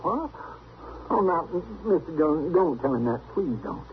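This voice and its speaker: An actor playing a folksy, anxious frontier sidekick with a rural American drawl in an old-time western radio drama. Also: male voice, fairly high pitch, very animated, moderate pace. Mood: alarmed, pleading. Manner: folksy, flustered, pleading. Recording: muffled, noisy vintage radio recording.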